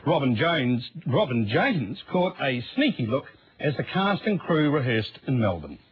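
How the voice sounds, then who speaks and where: A man's voice: medium pitch, big pitch swings, a little slow, heavy background noise. A man presenting scripted news introductions as a studio presenter. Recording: dull lo-fi broadcast copy.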